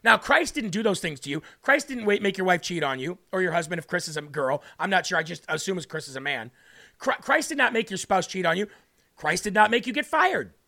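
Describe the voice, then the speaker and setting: A man with a raspy voice hosting a faith livestream, preaching and reading viewer comments aloud, often repeating phrases for emphasis. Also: high voice, animated, a little fast, sharp tone. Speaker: host of a faith livestream show.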